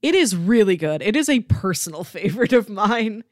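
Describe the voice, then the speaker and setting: A woman's voice: medium pitch, very animated, normal speed, dry recording, studio quality. A woman co-hosting a casual pop culture podcast, chatty and emphatic, repeating phrases for effect. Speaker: podcast co-host.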